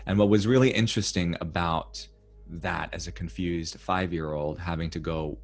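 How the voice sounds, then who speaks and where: A man's voice: low voice, steady tone, moderate pace, creaky. A man hosting a podcast interview, sharing reflective personal stories in a conversational way. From United States. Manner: reflective, storytelling. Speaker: podcast host.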